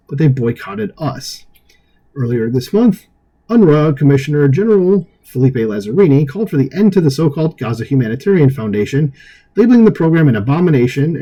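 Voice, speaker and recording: Dark, husky-voiced man reading news with commentary. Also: mid-range voice, rough recording. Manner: opinionated, dismissive asides. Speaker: online news commentary host. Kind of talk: reading an article aloud with off-the-cuff commentary.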